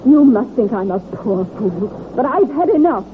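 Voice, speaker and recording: A woman's voice: fairly high pitch, sing-song, normal speed. An actress playing a wary, wounded wife standing up to her husband in an old radio crime drama. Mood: hurt, accusing. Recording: noisy, tinny mid-century radio recording.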